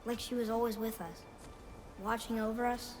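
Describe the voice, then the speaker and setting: An actress playing a solemn, reverent mourner in a dramatic video game, speaking with quiet hope. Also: female voice, fairly high pitch, little pitch movement, normal speed, close to an everyday voice. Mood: reverent, wistful. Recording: reverberant hall, faint noise.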